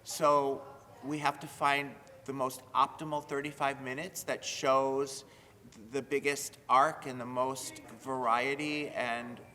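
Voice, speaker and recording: Male drama director, interview delivery, crisp bright edge, long room reverb. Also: medium pitch, little pitch movement, takes it slowly. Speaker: high school theater director. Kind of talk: interview remarks. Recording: reverberant hall with background noise.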